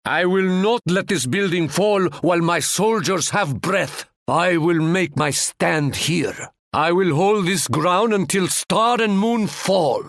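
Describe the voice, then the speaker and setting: A man voicing a proud, boastful male sultan in a video game, speaking in a grand, measured way and fond of stately proverbs. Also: sits high, a bit bright, no room sound, studio quality, full character voice. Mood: regal.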